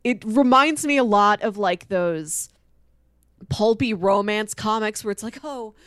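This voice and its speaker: A woman co-hosts a casual podcast with an enthusiastic, expressive delivery. Her voice sits at a middle pitch for a woman. The sound is dry, with very little room in it. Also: a little slow, no room sound. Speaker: podcast co-host. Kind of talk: casual chat with read-out film pitches.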